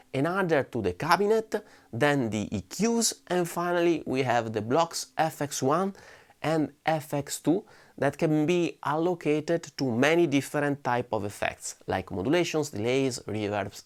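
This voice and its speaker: A young man with a flu-roughened, hoarse voice talks through a product review, his pitch moving in a lively, rising and falling way. He speaks carefully and measuredly, recorded in a home music studio. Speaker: gear reviewer, channel host. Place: home music studio full of guitars and amps.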